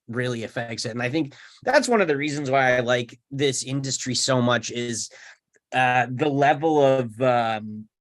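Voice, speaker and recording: A man's voice: mid-range voice, a bit bright. A man chatting casually in a group discussion, talking in an informal, colloquial way. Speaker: discussion participant. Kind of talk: casual chat.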